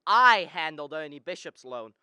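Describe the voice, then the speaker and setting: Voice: mid-range voice, lively intonation, normal speed, bright, forceful tone, clear, resonant tone, dry recording. A young performer playing an earnest, outspoken young man with an impassioned manner in a radio drama. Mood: indignant. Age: young adult. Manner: impassioned, defiant. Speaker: student actor.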